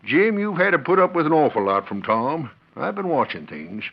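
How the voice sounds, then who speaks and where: Folksy old cowhand, muffled recording. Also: male voice, a little high, sing-song, moderate pace. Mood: confiding. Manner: folksy, rustic. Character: loyal, plain-spoken old male cowhand. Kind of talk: 1940s radio western acting. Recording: muffled, hissy 1940s radio recording.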